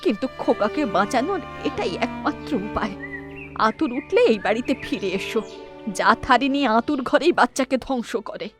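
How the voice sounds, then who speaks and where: A woman's voice: fairly high pitch, expressive, normal speed, music in the background, close to an everyday voice. An actress voicing an older village mother-in-law who grievingly tells of a cursed idol and warns her daughter-in-law. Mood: grave. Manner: storytelling, grieving, warning.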